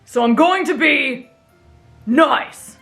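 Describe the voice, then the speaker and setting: A woman's voice: high-pitched, takes it slowly, fairly bright tone, soft background music, heavily acted. A woman voicing a brash, headstrong teenage boy who talks in a blunt, boastful way, in an anime fan roleplay. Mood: loud, self-important.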